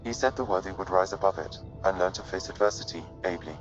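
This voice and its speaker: An actor playing a calm, measured male advisor in a video game cutscene. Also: low-pitched, lo-fi sound, over music, close to an everyday voice. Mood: measured reassurance.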